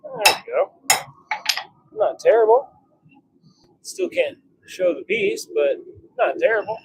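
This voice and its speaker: A man with a fairly high voice and very animated pitch chats casually on a livestream as he works. He talks in short, wry remarks, half to himself. Clear workshop noise sits behind him. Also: slow pace. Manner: muttering self-commentary in short clipped remarks, wry. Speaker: livestream host forging knives. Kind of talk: casual livestream chat and running commentary while working. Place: blacksmith workshop with forge.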